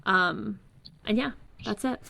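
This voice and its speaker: Gravelly, bright-voiced woman guest. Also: mid-range voice, slow pace. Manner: earnest, searching for words, with filler "um" and "like". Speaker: guest, energy healer. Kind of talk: casual explanatory talk. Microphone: remote call.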